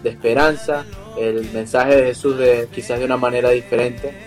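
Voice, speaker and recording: Venezuelan man, young adult, halting talk, eh fillers, video call, faint music behind. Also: medium pitch, flat delivery. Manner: hesitant, many fillers. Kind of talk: interview over a video call.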